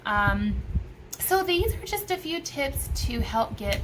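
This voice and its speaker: A woman's voice: sits high, very animated, slow pace. Emphatic woman vlogger, noisy recording. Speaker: homeschool vlogger. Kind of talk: casual advice talk to camera. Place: small room at home by a window. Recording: clearly noisy room recording.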